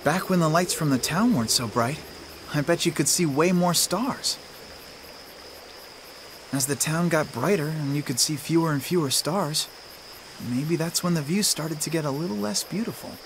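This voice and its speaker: A man's voice: fairly high pitch, moderate pace, slight background noise, lightly acted. An actor playing a friendly, caring, slightly awkward teenage boy hero in a game cutscene, casual and earnest. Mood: reflective. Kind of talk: video game cutscene acting.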